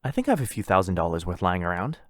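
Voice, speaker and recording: A man's voice: low-pitched, sing-song, rapid-fire, fairly bright tone, no room sound, studio quality. A man playing a lonely, possessive, rich vampire brother in a boyfriend ASMR roleplay, insistent and coaxing. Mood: eager, inviting.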